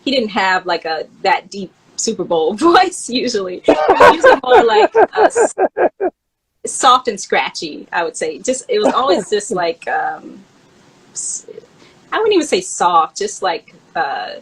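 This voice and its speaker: A woman co-host reminiscing in casual chat, in an informal, halting manner. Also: mid-range voice, wildly swooping pitch, breathy edge, poor audio. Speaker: co-host, memoir author.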